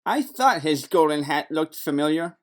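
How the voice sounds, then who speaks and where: An actor voicing a flippant, wisecracking private detective's sidekick in a comic noir audio drama, joking in deadpan. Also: medium pitch, normal speed, lightly acted.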